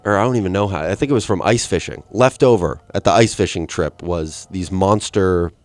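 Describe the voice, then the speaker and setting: Young man in his 20s, low voice, dry close mic, profane rambling comic host. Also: fairly quick, no echo. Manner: rambling and profane, with deadpan asides. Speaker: podcast host. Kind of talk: solo comedic rant.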